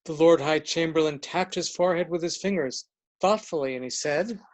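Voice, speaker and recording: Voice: mid-range voice, normal speed. A narrator reading a storybook tale in a scripted readers theater performance.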